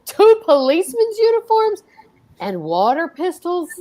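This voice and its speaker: A woman's voice: extremely high pitch, huge pitch swings, a little slow, mellow, full character voice, rough recording. A woman as a swooping, henpecked radio husband. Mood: grumbling reluctant. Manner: hesitant, grumbling. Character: George, reluctant, worrying, henpecked husband. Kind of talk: old-time radio comedy acting.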